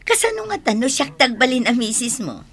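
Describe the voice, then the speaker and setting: A woman's voice: a little high, sing-song, a little fast, a bit bright, breathy edge, full character voice. A woman with an Ilocano accent playing a gossipy, teasing friend in a radio drama. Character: gossipy, teasing female friend of a driver, a parent.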